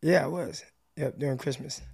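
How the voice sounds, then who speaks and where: A man with a raspy, somewhat high voice co-hosts a sports podcast. He speaks in a casual, conversational way. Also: moderate pace, darkish tone.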